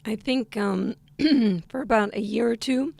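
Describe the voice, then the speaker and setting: A woman's voice: on the high side, a little slow, vocal fry, darkish tone, dry recording. A young woman from Argentina, a podcast guest, answering in a hesitant conversational way with many ums and restarts. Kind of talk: conversational interview. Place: studio table across from host.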